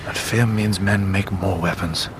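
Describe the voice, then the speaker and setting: An actor playing a stubborn German pilot in a radio war drama, understated and quietly resolute. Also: male voice, low voice, fairly even intonation, a little slow. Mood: practical, dry. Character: dry, stubborn German pilot searching for his father. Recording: loud outdoor ambience noise.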